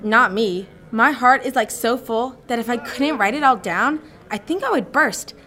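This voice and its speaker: Lady doing a dreamy teen girl who won't stop gushing, kinda high voice, radio play. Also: animated, normal speed, sharp tone. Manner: gushing, says "like" and "you know" often. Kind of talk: radio drama acting.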